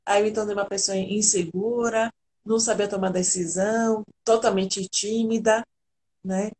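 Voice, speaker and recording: A woman's voice: medium pitch, fairly even intonation, normal speed, a little mellow. A woman from Argentina, an integrative therapist, telling her personal story earnestly and candidly as a guest on a live video call.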